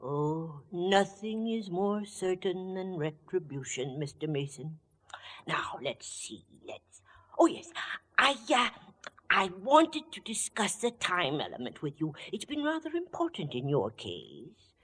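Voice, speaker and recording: Fussy, politely sinister male death figure, 1940s radio, husky, hesitant, very changeable pitch. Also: very animated, slow, a bit bright, exaggerated voice. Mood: politely menacing. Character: fussy, meek-mannered, quietly menacing male agent of death.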